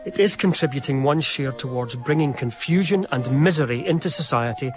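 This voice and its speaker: Radio drama: male actor as eager young gentleman diarist of the 1700s, mid-pitched, formal and literary, confiding in the listener. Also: brisk, music in the background, lightly acted. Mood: reflective. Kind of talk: radio drama narration and dialogue.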